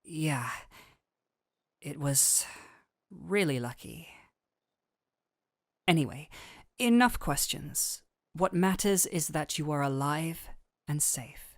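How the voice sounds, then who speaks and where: A woman playing a gentle but firm, caring fantasy doctor in an intimate roleplay audio, speaking softly and earnestly to the listener. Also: sits low, no echo, studio quality. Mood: gentle concern. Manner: soft, soothing, unhurried, earnest.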